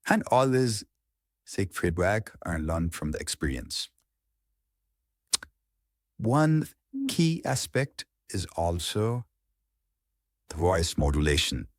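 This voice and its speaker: Low-pitched Indian man giving instruction. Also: big pitch swings, slow pace, pauses often, no room sound, studio quality. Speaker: public speaking coach. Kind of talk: informal instructional talk on public speaking.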